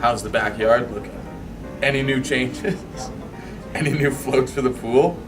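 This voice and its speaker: A man's voice: medium pitch, unhurried. A man reading a child's letter aloud. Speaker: staff member reading aloud. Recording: distant mic with music. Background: music.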